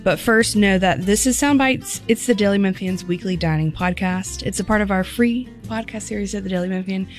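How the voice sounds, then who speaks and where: A woman hosting a dining podcast in casual chat, a little hoarse, chatty and quick to laugh. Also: medium pitch, soft background music. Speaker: podcast host.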